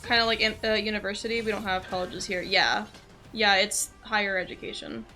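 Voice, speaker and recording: Bright-voiced young American woman chatting on stream. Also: medium pitch, fairly quick, soft background music. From southeastern United States. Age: young adult. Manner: chatty, rambling, loosely jumping between thoughts. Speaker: game livestreamer. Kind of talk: casual stream chat and game commentary.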